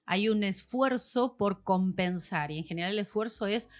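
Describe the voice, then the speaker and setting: A woman's voice: mid-range voice, moderate pace, soft background music. A woman, a voice specialist guest explaining patiently in a podcast chat, like a teacher. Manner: patient, teacherly, acts out examples.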